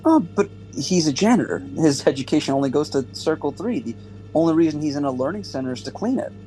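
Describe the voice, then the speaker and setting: A man's voice: a little high, lively intonation, fast talker, dark tone, soft background music, lightly acted, rough recording. A young man playing a curious, naive and slightly snobbish alien trainee in an audio drama, with a questioning, hesitant manner. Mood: disdainful.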